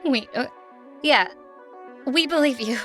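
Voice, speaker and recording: Clear music sits under a high, unhurried female voice. a casual, wary young friend in an audio drama, talking colloquially. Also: a bit bright. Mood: stunned, hesitant. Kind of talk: conversational audio drama acting.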